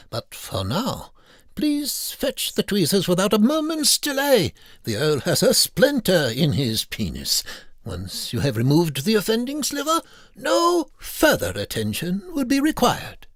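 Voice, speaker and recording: A man's voice: sits high, a bit bright, no echo, clean studio sound, pitched above the performer's own voice, exaggerated voice. A man voicing a pompous, exasperated doctor in a farcical radio story, commanding and theatrically emphatic.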